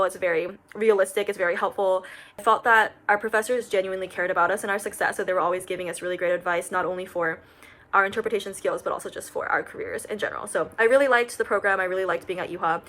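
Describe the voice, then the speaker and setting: Fast-talking young woman. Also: medium pitch, bright, forceful tone. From United States. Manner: chatty, many fillers like "um" and "like". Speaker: recent graduate. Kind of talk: casual talk to camera.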